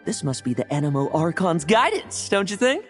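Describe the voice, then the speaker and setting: Glib young knight schemer in a game, lowish voice, swoops all over, music behind. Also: female voice, big pitch swings, normal speed. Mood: theatrical, persuasive. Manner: theatrical, glib. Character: cheeky, smooth-talking young knight, breezy schemer. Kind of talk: video game character acting.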